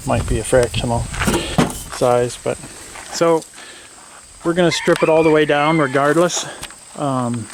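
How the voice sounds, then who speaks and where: A man hosts a casual hands-on build video in a plain-spoken way. He talks slowly, as if working things out aloud, with a gravelly fry in his voice. Faint outdoor noise with chirping insects sits behind him. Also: on the high side. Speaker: host of a workshop build channel. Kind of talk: casual hands-on teardown commentary.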